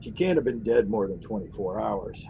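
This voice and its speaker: An actor playing a grave, stolid police officer in a 1940s radio drama, plain-spoken and official, with some hesitation. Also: male voice, fairly low pitch, expressive, normal speed, vocal fry, mellow, slight background noise. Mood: grave, hesitant. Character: stolid, grave male police officer breaking bad news. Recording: dull, lo-fi 1940s radio recording.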